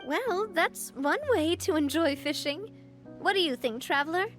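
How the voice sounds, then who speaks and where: A confident young woman in charge, cheerful and playfully teasing. Her voice is high-pitched, in a polished video game performance with music clearly behind it. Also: expressive, moderate pace. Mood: warm, cheerful.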